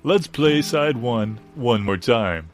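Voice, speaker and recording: A man performs the earnest voice of a parody guitar instruction record. He speaks at a middling pitch in a measured, didactic way. Guitar music plays clearly behind him. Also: expressive.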